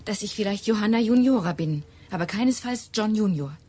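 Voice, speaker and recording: A woman's voice: mid-range voice, expressive, brisk, mellow, breathy edge. An actress playing a nervous young woman on the run in disguise, anxious, in a German radio drama. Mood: worried. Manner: anxious, tense delivery.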